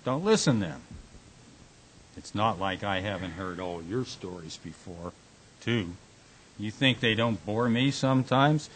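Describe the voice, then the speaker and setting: A man's voice: fairly low pitch, animated, a little slow, pauses often, a little hiss or hum, rough recording. A man acting a teasing, devoted husband and Navy veteran in a radio drama, wisecracking and plain-spoken. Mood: needling.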